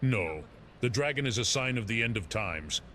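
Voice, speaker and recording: A man's voice: mid-range voice, expressive, normal speed, sharp tone. A man voicing a frantic, paranoid old man in hiding who rambles in fantasy game dialogue. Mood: frantic, urgent. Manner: rambling, agitated.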